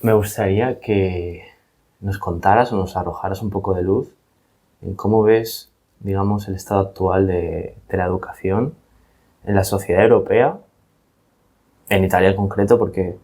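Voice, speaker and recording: Male podcast host with a deep, low voice, conversational interviewing delivery. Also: steady tone, moderate pace, mellow. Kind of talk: casual interview chat.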